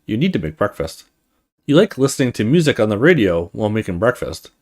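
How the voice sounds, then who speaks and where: A man reading a horror visual novel aloud as a let's play host, with expressive storytelling and casual asides. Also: fairly low pitch, quick pace.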